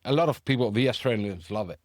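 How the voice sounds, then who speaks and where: Greek guy cohosting a podcast, mid pitch, dry close mic, gets excited and goes way up. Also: quick pace, dry recording. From Greece. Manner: animated, rises high when worked up. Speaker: podcast co-host. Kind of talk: casual chat.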